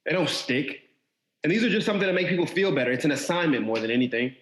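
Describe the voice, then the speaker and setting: An actor playing a guarded, exasperated younger brother in an audio drama, speaking defensively and earnestly. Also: male voice, a little high, animated, fast talker, fairly bright tone, echoey room. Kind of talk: stage-style audio drama dialogue.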